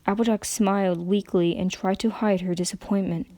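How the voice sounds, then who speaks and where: A woman's voice: mid-range voice, fairly even intonation, normal speed, dark tone, dry recording. A woman narrator giving a dramatic reading of a story.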